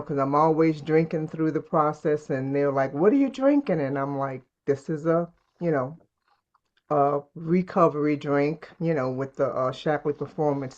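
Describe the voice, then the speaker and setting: A woman's voice: low voice, a little mellow, muffled recording. A woman talking casually and a little hesitantly as she asks for advice. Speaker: participant asking for advice. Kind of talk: casual chat.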